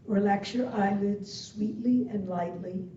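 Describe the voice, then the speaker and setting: A woman meditation instructor leading a guided practice in soothing, deliberate phrases with long pauses between them. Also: dark tone. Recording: muffled, echoey, distant web-call audio, faint rumble.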